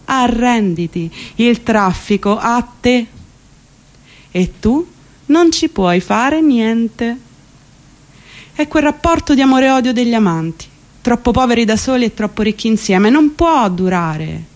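An actress playing an exasperated, sardonic commuter worn down by city life, in a theatrical radio monologue with ironic, emphatic delivery. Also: female voice, a little high, animated, measured pace. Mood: mocking resignation. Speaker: stage actress.

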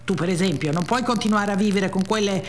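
Woman playing a brash flirtatious starlet, raised pitch. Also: fast talker, slight background noise, natural voice. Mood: impatient, dismissive. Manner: provocative, bossy, dismissive. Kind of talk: radio dialogue reading.

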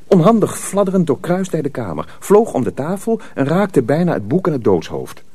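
A man narrating a dramatised story as a scripted, dramatic storyteller, with a husky voice. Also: fairly high pitch, sing-song, normal speed, dark tone.

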